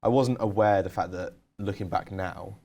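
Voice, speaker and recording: A man's voice: sits low, normal speed, dark tone. A young man co-hosting a podcast, telling candid stories in casual chat with lots of "like". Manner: candid, storytelling, uses "like" a lot. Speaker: podcast co-host.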